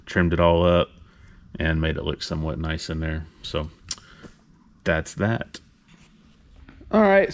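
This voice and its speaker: A laid-back, plain-spoken man talking casually as he shows truck parts for a workshop video update. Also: sits low. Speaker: car builder hosting a shop update. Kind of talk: casual vlog talk while showing truck parts. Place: indoor vehicle workshop garage.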